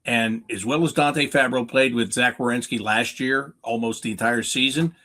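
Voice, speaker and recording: A man's voice: mid-range voice, steady tone, normal speed, pushed and bright. A North American man giving unscripted sports analysis as a guest, emphatic, often posing questions and answering them himself. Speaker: hockey play-by-play announcer as guest analyst.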